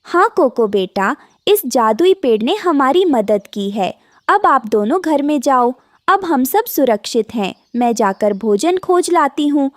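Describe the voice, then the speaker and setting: Woman playing a high-voiced, gentle mother bird. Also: very animated, unhurried, no echo, studio quality. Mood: relieved, tender. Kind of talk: Hindi cartoon voice acting.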